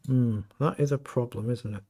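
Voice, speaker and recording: A middle-aged man with a croaky voice, thinking aloud as he plays fast chess and comments on each move. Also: medium pitch, dark tone. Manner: thinking aloud, muttering over moves. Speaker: chess streamer. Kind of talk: live game commentary.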